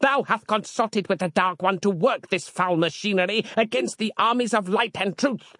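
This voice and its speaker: A man's voice: sits high, normal speed, pushed and bright, no room sound, clean studio sound, voice pushed up. A man voicing a stern, pious zealot commander who declaims imperiously in archaic speech for an audio story. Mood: outraged, zealous.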